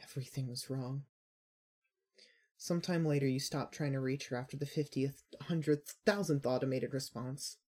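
A man narrating a dramatic second-person story in a solemn, deliberate, emphatic way. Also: medium pitch, fairly even intonation, a little mellow.

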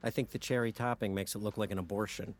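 An actor playing a crass, self-interested office best friend in a comedic audio drama, blunt, slangy and exasperated. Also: male voice, lowish voice, steady tone, fast, natural voice. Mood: blunt, cynical.

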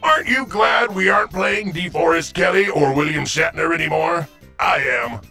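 A man's voice: fairly high pitch, normal speed, gravelly, bright, forceful tone, slightly rough, faint music behind. A man hosting a zany scripted quiz show in a theatrical, exclamatory way with sing-song catchphrases. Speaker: game show host.

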